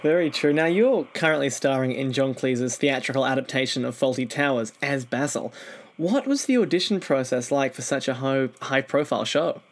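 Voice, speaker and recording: A man's voice: mid-range voice, normal speed, slight background noise. A man hosting an interview, asking prepared questions in a conversational way. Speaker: interview host.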